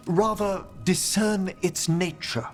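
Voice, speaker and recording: A man's voice: high voice, steady tone, slow pace. A man playing a refined, fussy, scholarly necromancer with pompous, ornate diction in a video game. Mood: courtly, precise. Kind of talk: video game cutscene acting. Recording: loud music behind the voice.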